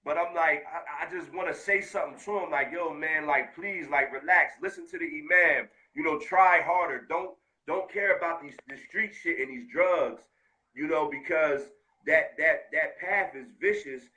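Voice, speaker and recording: A man's voice: fairly high pitch, moderate pace, poor audio. Heartfelt slangy American man. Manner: heartfelt, street slang, animated. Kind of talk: casual conversation.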